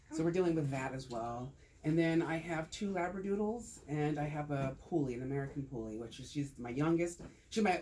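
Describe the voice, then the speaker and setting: A man's voice: a little high, darkish tone, a little hiss or hum. A man talking casually to a small group, then presenting on taxes with jokes and rhetorical questions. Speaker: tax advisor giving a talk.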